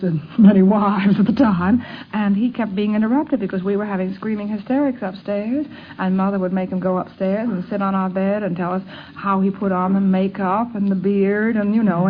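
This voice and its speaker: A woman in her forties from the United States reminisces in an interview, with emphatic, theatrical turns of phrase. Her medium-pitched voice comes through a narrow, tinny 1950s radio recording with faint noise. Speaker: interviewee recalling family life. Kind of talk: conversational reminiscence.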